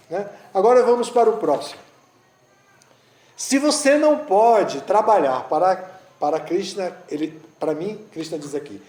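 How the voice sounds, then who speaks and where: A man's voice: high voice, darkish tone. A man giving a conversational devotional talk, telling a story with frequent restarts. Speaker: spiritual teacher giving a talk. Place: eco-village gathering, roomy space. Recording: roomy echo.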